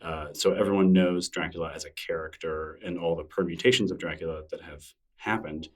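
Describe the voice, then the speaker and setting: A middle-aged man with a deep, low voice chats as a podcast guest. He talks in a loose, self-deprecating way, often restarting his sentences. He sits a little off the mic. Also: brisk, recorded from a distance. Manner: relaxed, rambling, self-deprecating with frequent restarts. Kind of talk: casual interview conversation.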